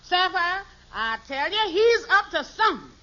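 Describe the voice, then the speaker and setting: An actress playing a sharp-tongued, suspicious older mother-in-law in an old radio sitcom, scolding and accusing. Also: very high voice, big pitch swings, normal speed, exaggerated voice. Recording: very noisy, dull old radio recording.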